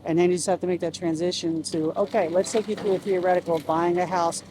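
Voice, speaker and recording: Woman explaining amid clear outdoor city noise. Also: lowish voice, fairly even intonation, fast. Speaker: city council member. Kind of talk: explanatory interview talk. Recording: noisy outdoor street with vehicles. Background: urban outdoor and vehicle noise.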